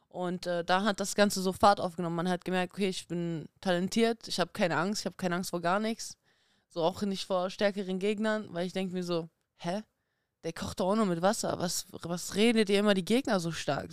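A woman in her thirties, a former boxing champion guest, talking in a lively, chatty way with many fillers in a casual podcast interview. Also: on the low side, clean tone, no echo, clean studio sound. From Kazakhstan, raised in Germany.

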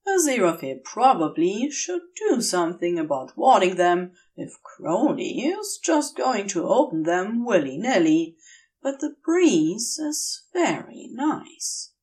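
A woman's voice: medium pitch, sing-song, takes it slowly, a little mellow, far from the mic. A woman narrating a scripted piece of fiction as an audiobook-style reader. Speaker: podfic narrator.

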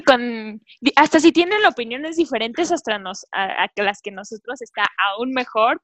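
A young woman, co-hosting a podcast in casual chat and joking about her own voice. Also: high voice. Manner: self-deprecating about her voice. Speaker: podcast co-host.

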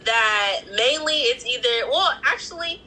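A woman co-hosting a casual chat, quick and agreeable, reading out viewer comments. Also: sits high, expressive, sharp tone, a little hiss or hum, poor audio. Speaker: podcast co-host.

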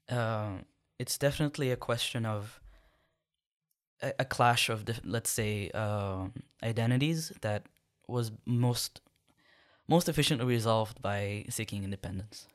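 A young man from Sudan, a podcast guest, answering questions in a thoughtful, measured way with many pauses. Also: lowish voice. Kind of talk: conversational interview answers.

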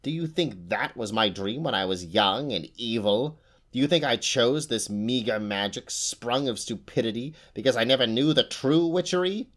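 A man's voice: deep voice, very animated, measured pace, vocal fry, heavily acted. A man voicing an old, boastful and fierce female witch who talks with a sneer. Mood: savage. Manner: sneering, snarling at times.

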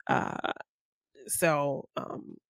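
A woman's voice: extremely slow, dry recording, studio quality. A woman co-hosting a podcast, casually talking through a film and acting out bits of dialogue as she retells it. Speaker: podcast co-host.

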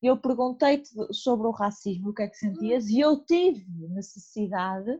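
Mellow-voiced woman with a Porto accent. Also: medium pitch, expressive, unhurried, breathy edge. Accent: northern Portuguese (Porto). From Porto, northern Portugal. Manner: hesitant, thinking aloud with many restarts and fillers. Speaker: podcast host. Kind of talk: conversational interview. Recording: dull lo-fi recording.

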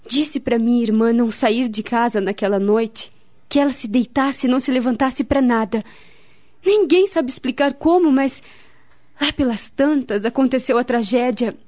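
A woman's voice: a little high, a little mellow, natural voice. An actress playing a grave, devout woman in a radio drama, speaking solemnly and earnestly with a Brazilian accent. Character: grave, devout woman friend, counselling and recalling family tragedy. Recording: dull, lo-fi radio drama recording.

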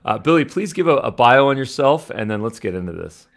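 A man's voice: medium pitch, big pitch swings, a little fast, creaky. A man hosting a podcast interview, introducing his guest with upbeat energy. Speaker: podcast host.

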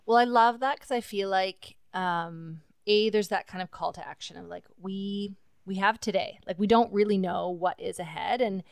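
A woman hosts a podcast, talking reflectively and earnestly with easy fillers. Her voice is smooth and resonant at a middle pitch. The recording is dry and close. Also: normal speed, dry recording. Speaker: podcast host. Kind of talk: casual conversation.

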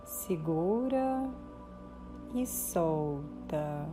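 A Brazilian woman leading a guided meditation in a soothing, instructive manner with deliberate pauses. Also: low voice, lively intonation. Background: music.

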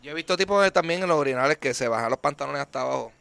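A man, a guest on a podcast, chatting in an animated way and often repeating himself. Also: on the high side, racing, vocal fry, dry recording. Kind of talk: casual chat.

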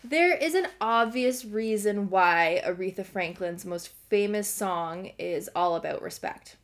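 Woman, young adult, slightly hoarse, earnest self-help host, slow unhurried delivery, mid pitch. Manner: earnest, encouraging. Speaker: podcast host. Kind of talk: self-help podcast monologue.

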